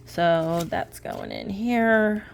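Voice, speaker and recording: A woman's voice: on the low side, wildly swooping pitch, drawn-out words, gravelly, a little hiss or hum. A woman in her late twenties chatting casually while sorting cash into budget envelopes, rambling and correcting herself with small laughs. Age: late 20s. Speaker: budgeting vlogger.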